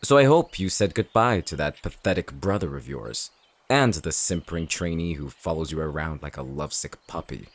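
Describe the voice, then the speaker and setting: A man's voice: extremely low pitch, animated, moderate pace, heavily acted. A man playing a cocky, contemptuous villain in an audio drama, talking in a sneering, taunting way. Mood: smug, sneering. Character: cocky, contemptuous male shifter-hunting villain. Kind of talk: audio roleplay drama acting.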